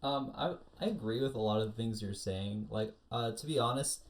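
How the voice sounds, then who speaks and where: A man's voice: mid-range voice, moderate pace. Man chatting casually in a rambling way. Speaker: podcast co-host. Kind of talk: casual discussion of food anime.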